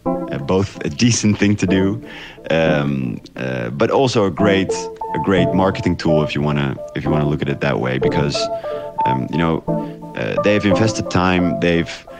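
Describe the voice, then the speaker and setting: A man from the Netherlands giving casual, reflective interview answers in a halting, hesitant way. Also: very deep voice, lively intonation, over loud music. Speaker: interviewed audio drama creator.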